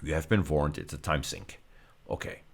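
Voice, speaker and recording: A man's voice: a bass voice, normal speed, gravelly, breathy edge. A man giving an explanatory technical lecture as a university teacher, working step by step with hesitant repetitions.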